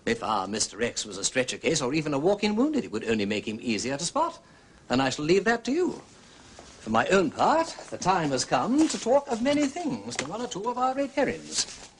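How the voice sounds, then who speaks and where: Breezy upper-class English gentleman sleuth. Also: on the high side, wildly swooping pitch, brisk, a little hiss or hum. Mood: excited. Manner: jaunty, clipped, old-fashioned exclamations. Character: urbane, breezy English aristocrat amateur detective. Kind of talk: radio drama acting.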